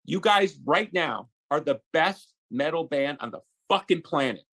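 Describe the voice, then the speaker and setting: A man with a husky, slightly high voice tells a story in casual talk. He is emphatic, swears freely and acts out each side of the conversation. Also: lively intonation, unhurried, fairly bright tone. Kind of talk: casual anecdote telling.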